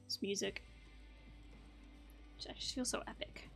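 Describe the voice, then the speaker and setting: A woman's voice: measured pace, a bit bright. A woman gaming streamer giving casual, chatty gameplay commentary with playful asides, game music playing behind her.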